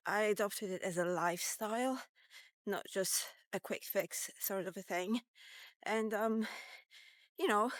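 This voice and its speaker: A woman's voice: mid-range voice, no room sound. Chatty woman in her fifties, dry sound. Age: 50s. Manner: rambling, candid, with many fillers. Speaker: vlogger. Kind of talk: casual walk-and-talk vlog. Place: outdoors, walking.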